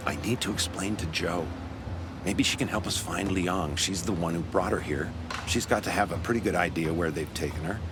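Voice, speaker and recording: A man acting as an earnest, persuasive figure in an audio drama. His voice is very deep and urgent, with vehicle noise clearly behind it. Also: moderate pace, close to an everyday voice. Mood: urgent, reassuring. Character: earnest, reassuring man pleading his case.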